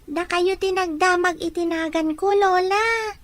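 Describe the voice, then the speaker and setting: A woman's voice: very high voice, unhurried, dry recording. A woman voicing a caring, curious little girl who asks questions in a childlike way, in an audio drama. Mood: patient, puzzled. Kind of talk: amateur audio drama acting.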